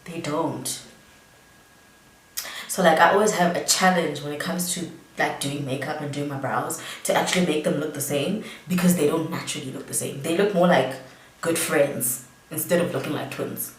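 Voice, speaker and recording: A woman's voice: low voice, moderate pace. Young South African woman vlogger, a bit tipsy, loose rambling delivery; roomy sound with the mic at a distance. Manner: rambling, chatty, many fillers. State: tipsy from drinking. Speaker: beauty vlogger. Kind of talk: casual storytime chat.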